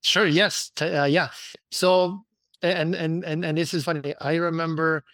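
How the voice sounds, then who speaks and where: A man from Peru tells the story of his company as a guest. His voice sits a little on the high side and he talks in eager bursts, restarting and checking in with "right?". The studio sound is dry and close. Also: steady tone, a little slow, fairly bright tone, dry recording. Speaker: company founder and CEO, guest. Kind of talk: interview storytelling.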